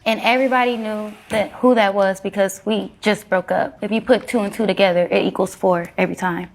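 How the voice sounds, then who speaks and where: A woman's voice: medium pitch, steady tone, brisk, a little hiss or hum. A woman giving conversational, apologetic testimony as she explains her side of a personal dispute in a TV courtroom. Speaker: plaintiff in a televised court case; hairstylist.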